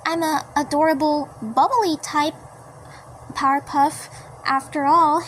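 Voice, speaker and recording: Woman putting on a high, bubbly little cartoon girl. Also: slow, a little hiss or hum, rough recording. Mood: cheerful. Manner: gushing, excitable, childlike.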